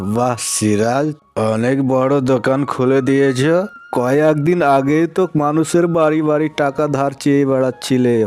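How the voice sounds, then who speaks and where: A man playing a wealthy, patronizing village moneylender with drawn-out exclamations in a cartoon story. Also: mid-range voice, darkish tone, lightly acted.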